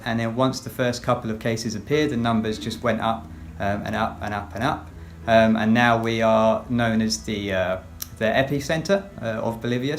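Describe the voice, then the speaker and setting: English man speaking in measured tones. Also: mid-range voice. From England, West Sussex. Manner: measured, with frequent fillers. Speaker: children's pastor. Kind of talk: recorded personal update.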